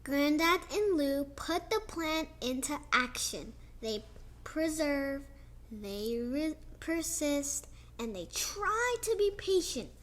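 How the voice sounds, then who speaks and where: A young girl reading a children's picture book aloud, slowly and expressively, with chatty asides about the pictures. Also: high voice, big pitch swings. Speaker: child storyteller.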